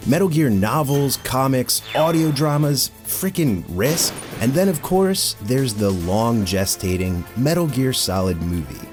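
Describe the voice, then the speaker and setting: A man's voice: medium pitch, darkish tone. A man delivering scripted video essay narration with casual asides in a wry, informal manner.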